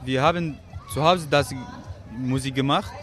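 A man's voice: mid-range voice, measured pace, a bit bright, some chatter behind. A seventeen-year-old young man from Kurdistan, answering hesitantly in simple learner's German. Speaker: interviewee, young musician. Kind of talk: casual interview answer.

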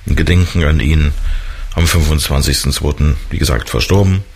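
A man's voice: very deep voice, normal speed, creaky, darkish tone, slightly rough. A man hosting a radio interview, chatting loosely with many fillers. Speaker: radio host.

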